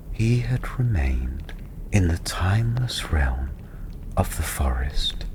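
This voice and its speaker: A man softly narrating a fantasy story in ASMR style, breathy and often half-whispered, soothing and unhurried. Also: low-pitched. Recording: steady ambient background noise.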